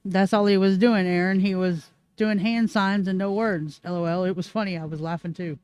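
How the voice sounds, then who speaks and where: High-voiced joking male co-host. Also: steady tone, a little mellow, smooth, no echo. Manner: teasing, quick jokes, laughs often. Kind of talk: casual livestream chat. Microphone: stands back from the microphone.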